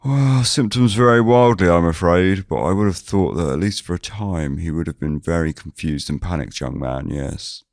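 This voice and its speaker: A man's voice: low voice, moderate pace, hardly any pauses, darkish tone, no echo, studio quality. A man playing a fussy, verbose doctor in an audio drama, speaking in a clinical, pedantic, hedging manner. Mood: matter-of-fact. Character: fussy, verbose male small-town doctor.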